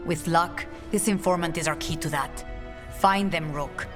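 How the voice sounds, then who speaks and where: A dignified, hopeful leader from a fantasy game, acted by a man. The delivery is measured and formal, with a long hall reverb. Music is clearly heard behind the voice. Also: fairly high pitch, natural voice. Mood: earnest, hopeful. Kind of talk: dramatic video game acting.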